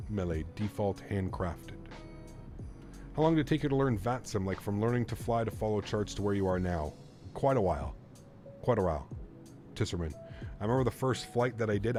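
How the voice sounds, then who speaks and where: Relaxed low-voiced man chatting on stream. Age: 30s. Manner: relaxed, chatty, thinks aloud with fillers. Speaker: flight sim streamer. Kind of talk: casual live-stream chat reading viewer comments.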